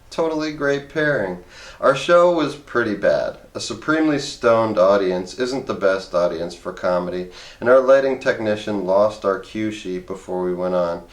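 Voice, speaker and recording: A man reading a comic memoir aloud in a wry, conversational audiobook style. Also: lowish voice. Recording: roomy, echoey voice recording.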